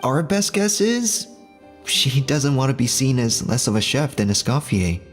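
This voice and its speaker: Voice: medium pitch, sing-song, unhurried, soft background music. An actor playing a concerned, sincere kitchen worker in an anime game, speaking earnestly. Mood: sympathetic.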